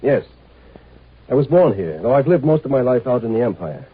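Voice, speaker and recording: Smug calm secret agent in a 50s sci-fi radio play, slightly husky, thin old recording. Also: male voice, mid-range voice, fairly quick. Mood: smug, explaining. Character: shrewd, superior native agent posing as imperial marshal. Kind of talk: 1950s radio drama acting. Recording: muffled, tinny 1950s radio recording.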